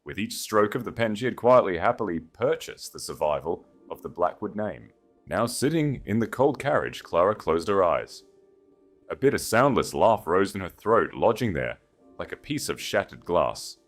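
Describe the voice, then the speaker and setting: Deep-voiced, expressive male story narrator. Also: soft background music. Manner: measured, literary, solemn phrasing. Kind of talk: dramatic scripted audiobook narration.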